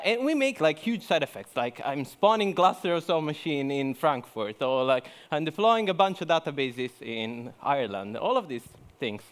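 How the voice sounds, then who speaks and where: Chatty man with fillers. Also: fairly high pitch. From Italy. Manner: chatty, many fillers and restarts. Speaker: conference speaker. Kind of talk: joking conference talk. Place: conference hall.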